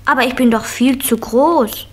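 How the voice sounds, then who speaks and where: High-voiced curious little girl. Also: moderate pace, slightly rough. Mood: eager, curious. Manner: inquisitive, insistent. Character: curious, bossy four-year-old girl Julia. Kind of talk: 1970s German children's radio play acting.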